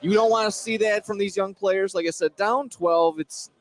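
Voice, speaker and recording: A man giving conversational color commentary on a live basketball game, in a halting way that circles back on phrases. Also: high-pitched, steady tone, very noisy. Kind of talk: conversational live sports analysis. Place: high school gym.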